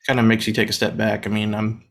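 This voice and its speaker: A man in his thirties co-hosting a casual sports podcast, feeling a bit unwell, talking in a relaxed way with plenty of fillers. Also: fairly low pitch, fast, vocal fry. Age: 30s. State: under the weather, voice deeper than usual. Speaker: podcast co-host.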